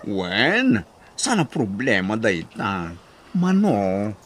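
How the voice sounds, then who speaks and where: A man with a Filipino accent playing an easygoing, generous cousin in a radio drama, relaxed and obliging. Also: on the low side, drawn-out words, a little hiss or hum, exaggerated voice.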